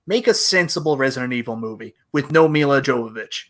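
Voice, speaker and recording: A man's voice: mid-range voice, lively intonation, a little fast, a bit bright. A man chatting casually and jokingly as a panelist on a gaming talk show.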